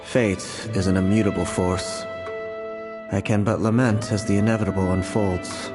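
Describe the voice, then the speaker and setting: A man's voice: lowish voice, level tone, normal speed, natural voice. An actor playing a brooding male prophet who solemnly laments a fate he cannot change. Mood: lamenting. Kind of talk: game voice acting. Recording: game audio with clear music.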